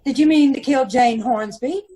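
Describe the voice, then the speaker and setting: A woman's voice: high voice, normal speed, mellow, natural voice. A woman playing a cool, wry detective's wife in an old-time radio comedy mystery, dry and probing.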